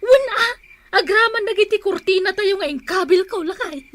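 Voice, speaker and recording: A woman's voice: very high-pitched, normal speed, fairly bright tone, dry recording. A woman acting a boastful, cheerful wife in a comic radio drama, with an Ilocano Filipino accent. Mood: gleeful bragging. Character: boastful, cheerful married woman showing off to neighbours.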